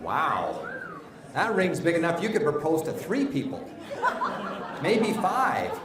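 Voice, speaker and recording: Energetic joking male seminar host. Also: on the high side, slow. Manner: energetic, joking, drives the crowd. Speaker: seminar presenter. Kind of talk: live audience seminar with games. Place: large hall with live audience. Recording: echoey hall with crowd noise.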